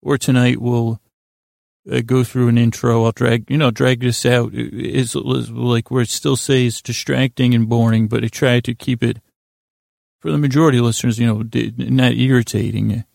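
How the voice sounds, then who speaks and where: A man hosting a sleep podcast in a rambling, halting monologue, drifting into tangents with self-deprecating, gently absurd humor. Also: mid-range voice, little pitch movement, gravelly, no echo, clean studio sound. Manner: meandering, digressive, halting with frequent self-interruptions. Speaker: podcast host telling bedtime stories.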